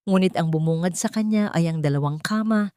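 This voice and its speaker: Low-pitched woman narrating a drama. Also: fairly quick, darkish tone, smooth, no echo, studio quality.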